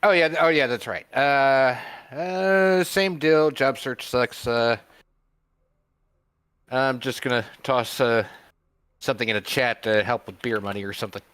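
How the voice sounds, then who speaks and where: A man's voice: medium pitch, measured pace, fairly bright tone, clear, resonant tone, no echo. A man on a podcast panel, talking hesitantly with many fillers in casual chat. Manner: hesitant, full of filler words.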